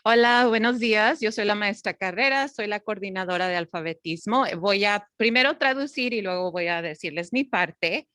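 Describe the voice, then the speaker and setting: A woman, a literacy coordinator, interpreting a colleague's talk into Spanish for parents and explaining it clearly. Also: medium pitch, brisk, hardly any pauses, bright, forceful tone, no room sound, clean studio sound.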